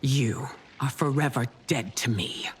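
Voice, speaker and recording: An actress playing a proud, imperious and indignant mature empress in a dramatic video game cutscene. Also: female voice, a bass voice, a little slow, a little hiss or hum. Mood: cold, wounded. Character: proud, betrayed warrior empress, mature and commanding.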